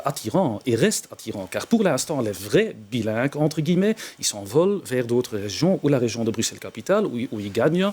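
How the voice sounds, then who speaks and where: Union guy on a tv debate, dutch native, measured, keeps restarting. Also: medium pitch, darkish tone, husky. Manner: measured, restarts and hedges often. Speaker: trade union representative. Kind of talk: televised debate.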